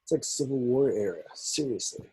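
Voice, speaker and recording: A man at an amateur table read playing a breezy, easygoing college guy with a husky voice. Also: medium pitch, animated, moderate pace, warm tone, poor audio.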